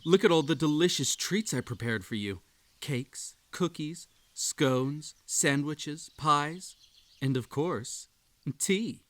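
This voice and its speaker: Slow-talking, unhinged bunny host played by a man. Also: fairly high pitch, animated, a bit bright. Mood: seductive, inviting. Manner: coaxing, falsely polite, theatrically unstable. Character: unhinged, possessive Ether Bunny playing a sinister tea-party host. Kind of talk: scripted roleplay audio drama addressed to the listener.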